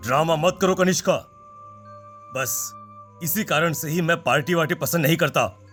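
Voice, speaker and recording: A man playing an irritable, dismissive father, curt and scolding in an animated story with music behind. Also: on the high side, normal speed, natural voice.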